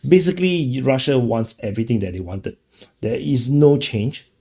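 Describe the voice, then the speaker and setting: A man's voice: mid-range voice, animated, moderate pace, mellow. A man hosting an off-the-cuff political commentary, rambling casually and often repeating words as he goes. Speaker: commentator, show host.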